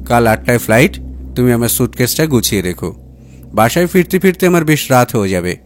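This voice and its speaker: A man's voice: medium pitch, very animated, brisk, a little hiss or hum, close to an everyday voice. A man voicing a stern, scheming businessman husband in an audiobook, with grave, emphatic, clipped phrases. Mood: probing. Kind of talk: acted audiobook dialogue.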